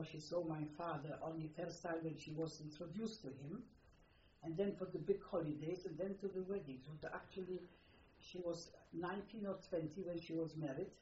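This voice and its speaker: A woman's voice: low-pitched, a little mellow, slight background noise. Elderly Polish-accented woman on muffled tape. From Poland. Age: older. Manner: halting, non-native phrasing, repeats words. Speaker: interviewee. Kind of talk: oral history interview. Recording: muffled roomy archival tape.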